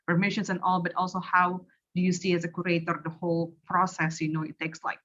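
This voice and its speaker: Even-toned Indonesian woman hosting, slightly muffled. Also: lowish voice, a little fast. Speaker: host and curator. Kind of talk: casual online panel talk. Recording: slightly dull lo-fi online audio.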